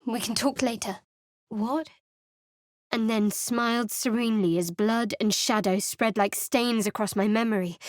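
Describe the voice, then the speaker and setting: Woman voicing a secretive young female cleric, dry sardonic delivery, high voice, close dead studio sound. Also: no room sound, lightly acted. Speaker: game companion. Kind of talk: studio game voice lines. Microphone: close studio mic.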